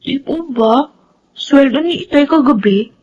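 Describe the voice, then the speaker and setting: A woman's voice: high voice, a little slow, darkish tone. A woman playing a polite, uncomplaining schoolgirl with a Filipino accent in a radio drama. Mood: shy, polite. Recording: dull lo-fi audio, faint noise.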